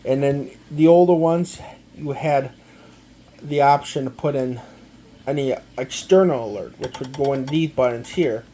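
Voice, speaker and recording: A man gives a casual, halting, step-by-step product walkthrough, often repeating himself. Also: mid-range voice, dark tone, a little hiss or hum. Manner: halting, repetitive, step-by-step explaining. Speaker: amateur gadget demonstrator.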